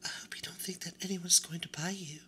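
A man voicing a vain, haughty female china doll in a high-pitched, breathy whisper. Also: fairly even intonation, fairly quick, sharp tone. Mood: snooty. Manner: snooty and scornful.

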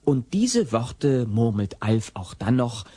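A man narrating a scripted audio play with a husky voice. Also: mid-range voice, big pitch swings, slow pace, mellow, dry recording.

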